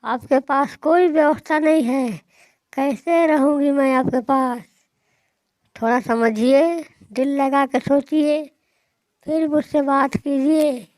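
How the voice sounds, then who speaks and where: A woman's voice: high-pitched, steady tone, takes it slowly, darkish tone, dry recording. A woman voicing a stubborn, demanding young wife in a moral-story cartoon, insistent and complaining.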